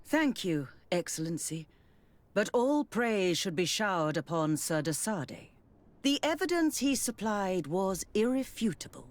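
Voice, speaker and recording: A woman's voice: medium pitch, very animated, measured pace, no echo. Poised, lilting female official. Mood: gracious. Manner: formal, polished. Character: poised, courteous female official, formal and authoritative. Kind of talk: dramatic video game acting.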